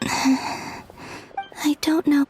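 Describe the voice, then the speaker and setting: Puzzled female onlooker for a game: extremely slow, hesitant, long reverb. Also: high voice, flat delivery, background noise. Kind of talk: video game character acting.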